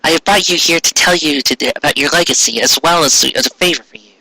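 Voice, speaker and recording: A man voicing a solemn, gentle queen with a formal, measured, motherly manner in a fan fiction reading. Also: a little high, no room sound, low-quality recording.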